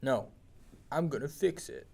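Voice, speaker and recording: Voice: mid-range voice, expressive, measured pace, gravelly, a little mellow. An actor playing a reluctant, exasperated young male repair tech in a scripted TV drama read. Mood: frustrated.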